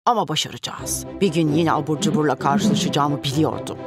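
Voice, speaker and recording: Brief: actress as a lively grandmother, low-pitched, steady narrating tone. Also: a little fast, close to an everyday voice. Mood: calm. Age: older. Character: energetic old grandmother, storyteller. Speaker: narrator of her tale. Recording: studio voice with music.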